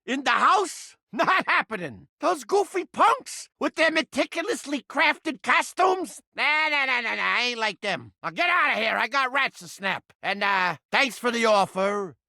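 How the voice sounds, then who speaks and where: A man's voice: very high voice, animated, unhurried, vocal fry, few pauses, pushed and bright, no echo, clean studio sound, exaggerated voice. An actor playing a cranky, manic living mousetrap in a video game, with blustering, streetwise wise-guy talk. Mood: cranky, evasive. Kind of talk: animated video game character acting.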